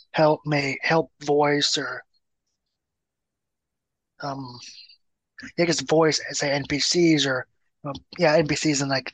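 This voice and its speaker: A man's voice: on the high side, a bit bright, no echo, rough recording. A man on a podcast roundtable, giving his view tentatively while searching for words. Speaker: roundtable panelist. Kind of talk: casual podcast discussion.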